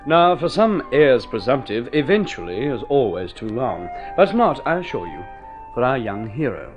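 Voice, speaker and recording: A man's voice: medium pitch, very animated, normal speed. A man narrating a story in vintage radio drama style, with an urbane, wry, theatrical manner. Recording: dull old radio recording with music.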